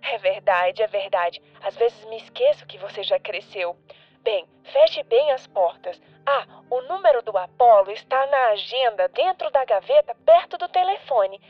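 Affectionate grandmother, reassuring, higher-pitched voice, muffled telephone sound, audio drama. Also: expressive, unhurried, dry recording. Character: kindly, unhurried grandmother, affectionate and sociable. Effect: telephone filter. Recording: muffled telephone-line sound, music behind.

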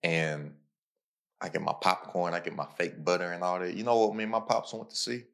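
A young man hosting a podcast, talking casually with slang and animated, quick bursts of storytelling. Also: low voice, gravelly. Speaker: podcast host.

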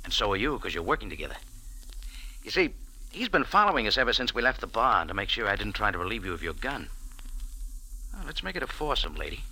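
Wisecracking hardboiled radio detective, animated delivery. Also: male voice, fairly low pitch, quick pace, long pauses, slightly rough, full character voice, poor audio. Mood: dry, sardonic. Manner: hardboiled, sardonic, dry. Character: tough wisecracking American cafe owner turned amateur detective. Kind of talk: 1940s radio noir acting and first-person narration. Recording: old radio recording with music behind.

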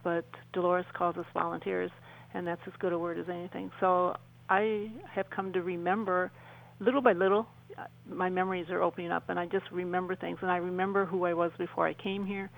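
A woman speaking as a radio interview guest, answering conversationally in an earnest, reflective and halting way. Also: lowish voice, level tone, gravelly, rough recording.

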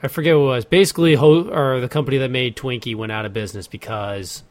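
Dude co-hosting a gaming podcast, medium pitch, joking around, real casual chat. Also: male voice, fairly even intonation, fast, dry recording. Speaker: podcast co-host.

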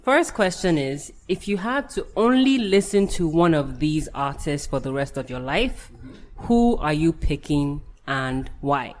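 A woman hosting a podcast interview, bubbly and quick to laugh, a native Yoruba speaker talking in English. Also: deep voice, very animated. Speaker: podcast host. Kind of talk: casual interview chat.

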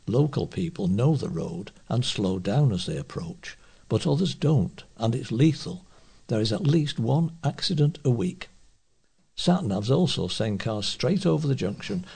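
Man, creaky low voice, volunteer newsreader, reading out local news stories. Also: a little mellow. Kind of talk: read-aloud local newspaper report.

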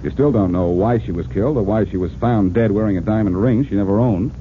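An actor playing a wisecracking, self-assured amateur detective in a 1940s radio drama, with a wry, teasing manner. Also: male voice, on the low side, fast, natural voice. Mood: needling. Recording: muffled, noisy old radio broadcast.

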